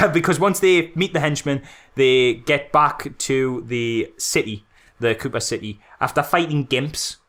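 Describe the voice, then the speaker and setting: A man co-hosting a podcast, chatting casually and comically about films in an animated, mock-serious way with rhetorical questions. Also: mid-range voice, unhurried. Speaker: podcast co-host. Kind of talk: casual comedic film critique chat.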